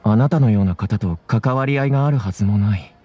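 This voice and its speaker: A man's voice: on the low side, fast, mellow, husky. A man voicing a humble, puzzled civilian in a Japanese video game, speaking hesitantly.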